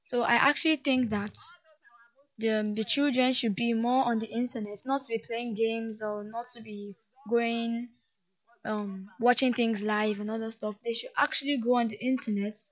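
A woman's voice: a little high, steady tone, normal speed. A woman explaining her ideas in an earnest way during an interview, with some fillers. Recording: dull lo-fi sound.

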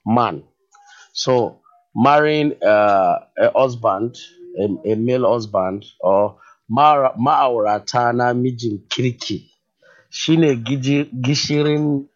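Slow-talking Nigerian man caller. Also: mid-range voice. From northern Nigeria. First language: Hausa. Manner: unhurried, hesitant, with fillers and laughs. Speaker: radio caller. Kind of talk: phone-in call. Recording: muffled phone-in line.

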